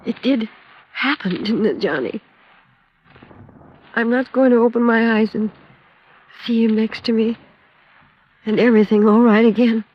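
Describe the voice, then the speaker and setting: An actress playing a bewildered, grieving American widow in a 1950s radio drama, speaking in a halting, emotional way. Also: female voice, a little high. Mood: anguished, dazed. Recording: dull, lo-fi old radio recording.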